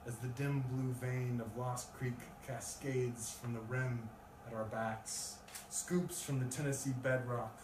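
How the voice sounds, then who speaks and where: A male poet speaks at a live reading in a room. His voice is picked up from a distance with plenty of echo. There is clear, loud noise over the recording. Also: medium pitch. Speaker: poet reading own work. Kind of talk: live poetry reading with casual introduction. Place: live event in a room with an audience.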